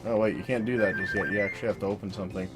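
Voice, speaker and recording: A woman with an unusually deep, low voice narrates her gameplay in a flat, monotone, offhand way. She jokes at her own expense and swears casually. Loud video game music plays behind her voice throughout. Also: very fast. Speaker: let's play commentator. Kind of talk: solo gameplay commentary.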